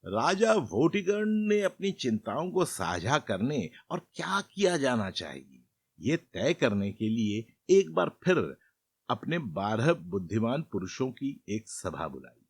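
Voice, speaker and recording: A man narrating a scripted fairy tale as a storyteller, in a measured and expressive manner. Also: mid-range voice, slightly rough, no room sound.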